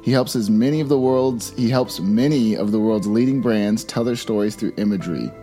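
A man's voice: fairly low pitch, fairly quick, dark tone, soft background music. A man hosting a podcast, speaking in a friendly, slangy way and calling his guest bro. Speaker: podcast host. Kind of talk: casual interview chat.

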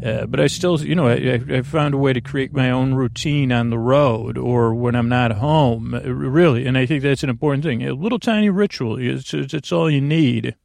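A man with a creaky voice hosting a sleep podcast, giving a soothing, meandering, hesitant bedtime monologue that keeps drifting off on tangents. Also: medium pitch, moderate pace, hardly any pauses, a little mellow, no room sound.

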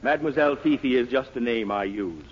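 A man plays an impatient businessman with a medium-pitched voice and a curt, businesslike manner. It is old radio comedy acting, muffled and buried in noise. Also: quick pace, natural voice. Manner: brusque, businesslike. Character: brusque, impatient out-of-town businessman. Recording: muffled, very noisy 1940s radio recording.

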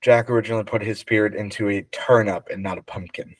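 A man with a slightly low voice runs a casual podcast quiz. He explains things in a measured, conversational way. The recording is dry, with no room sound. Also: fairly even intonation, no room sound. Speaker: podcast co-host, quizmaster.

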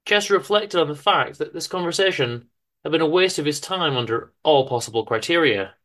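A man giving a dramatic reading of a short story, in a dry, measured, formal manner. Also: on the high side, expressive, fairly bright tone. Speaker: author-narrator reading his own story on a podcast.

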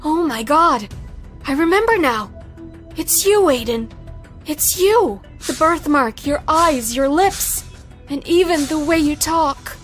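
A woman's voice: a little high, a little slow, few pauses, a bit bright, faint music behind. A woman acting emotional female characters in a narrated family drama story. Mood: tearful, shocked. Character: emotional women in family drama, tearful or bitter. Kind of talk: acted dialogue in a narrated story.

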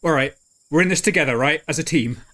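Quick-talking man playing a game. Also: a little high, a bit bright. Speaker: player. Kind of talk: casual tabletop roleplay.

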